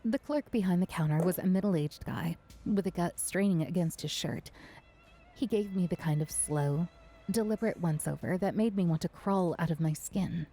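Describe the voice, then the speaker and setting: A woman's voice: fairly low pitch, normal speed, warm tone, husky, soft background music. A woman playing a weary, self-conscious but defiant young woman in a first-person audio drama. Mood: weary, reflective.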